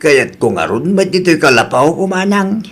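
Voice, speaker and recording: A man playing a softened, once-strict old village man in an Ilocano radio drama, with a drawn-out delivery and Filipino Ilocano accent. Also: fairly high pitch, very animated. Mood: subdued.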